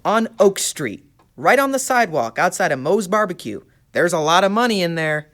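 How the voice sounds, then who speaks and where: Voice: medium pitch, expressive, moderate pace, a bit bright, lightly acted. A performer playing an eager, good-hearted schoolboy in a puppet show, speaking in an earnest, boyish way. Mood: excited. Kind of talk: church puppet show dialogue.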